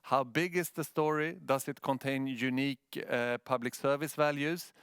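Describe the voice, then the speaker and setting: A man's voice: medium pitch, fairly even intonation, normal speed, fairly bright tone, clean tone, no echo, clean studio sound. A man from Sweden with a Swedish accent giving a prepared presentation as a news editor.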